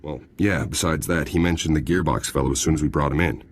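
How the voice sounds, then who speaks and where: A military jailer in a video game. He has a very deep voice and talks in a folksy, informal way, respectful to his superiors. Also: fast, slightly rough. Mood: apologetic, chatty. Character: deferential, chatty military prison guard.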